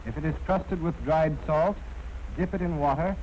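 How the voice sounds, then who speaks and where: A man's voice: fairly high pitch, moderate pace, a little mellow, lo-fi sound, slight background noise. An American man narrating a scripted 1940s instructional film in a precise, formal, instructive manner, on a vintage film soundtrack. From United States.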